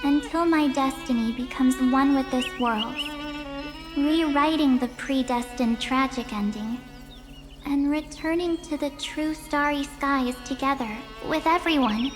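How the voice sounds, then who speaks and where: A woman's voice: high-pitched, unhurried, long reverb tail, music in the background. A woman playing a bubbly young photography-loving heroine in a video game, playful, boastful and heartfelt. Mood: solemn, determined. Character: bubbly young heroine who loves photography and her journal. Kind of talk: English video game voice acting.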